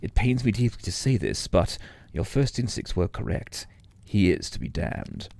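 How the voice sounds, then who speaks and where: A man playing a theatrical, formal judge figure who feigns sorrow, with a gravelly voice, in an audio drama. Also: fairly low pitch, sing-song, normal speed, a little mellow, dry recording, exaggerated voice. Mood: grave, mock regretful.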